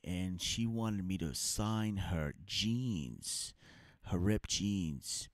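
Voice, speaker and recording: A man's voice: deep voice, extremely slow, no room sound, studio quality. A man with an Armenian accent co-hosting a comedy podcast, chatting casually in a rambling, mock-boastful way. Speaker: comic and podcast co-host.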